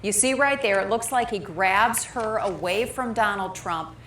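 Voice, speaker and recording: A woman's voice: medium pitch, unhurried, fairly bright tone, a little hiss or hum. An American woman reading scripted TV news as an anchor. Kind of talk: scripted broadcast news read.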